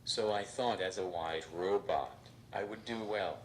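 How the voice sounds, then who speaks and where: A man voicing a robot stand-up comedian who delivers deadpan jokes on stage. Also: sits low, a little slow, a little hiss or hum. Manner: deadpan, flat punchlines. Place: stage before a live audience.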